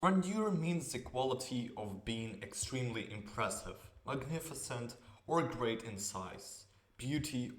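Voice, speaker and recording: A man's voice: lowish voice, a bit bright. A man reading scripted narration as the narrator of a video essay. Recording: music under the voice.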